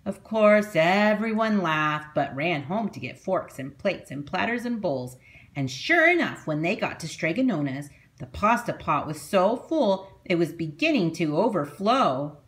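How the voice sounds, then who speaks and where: A woman teacher reading a children's story aloud in an expressive narrating style. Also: fairly low pitch, measured pace.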